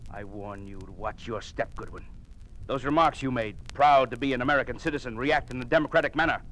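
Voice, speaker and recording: A menacing communist commissar , acted by a man speaks in a medium-pitched voice. He is cold, pompous and doctrinaire, handing down warnings in clipped phrases. The style is classic radio drama. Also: brisk, fairly bright tone, natural voice, low-quality recording. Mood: quietly menacing. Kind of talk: 1950s American radio drama acting.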